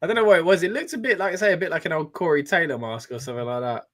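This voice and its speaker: Man, bright forceful voice, slightly high, excitable live reaction chat. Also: racing. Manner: excitable, chatty, reads out viewer comments. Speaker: wrestling watch-along host. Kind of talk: live casual reaction commentary.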